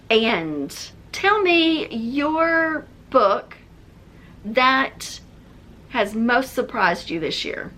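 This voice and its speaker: A woman's voice: medium pitch, sharp tone. A woman talking casually and conversationally to viewers as the host of a book review video. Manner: chatty, conversational.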